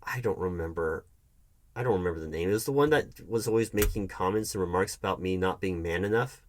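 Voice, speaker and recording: A man's voice: low voice, fairly quick, slight background noise, close to an everyday voice. Man acting a sarcastic, exasperated hiring manager. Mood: bitter, subdued. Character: hurt, defensive male hiring manager confronting his volatile ex-girlfriend. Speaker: roleplay performer. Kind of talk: one-sided audio roleplay acting.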